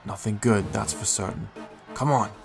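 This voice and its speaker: A man playing a calm, responsible Raichu guardian in an audio drama, speaking in a measured, explanatory way. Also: lowish voice, creaky, faint music behind. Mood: grim. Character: calm, helpful adult male Raichu guardian, steady and responsible.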